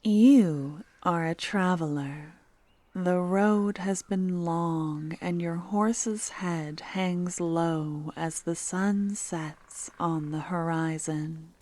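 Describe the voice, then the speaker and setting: Slow-talking soft-voiced woman narrator. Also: fairly low pitch. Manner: hushed, partly whispered storytelling. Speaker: ASMR roleplay creator and narrator. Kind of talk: ASMR narration.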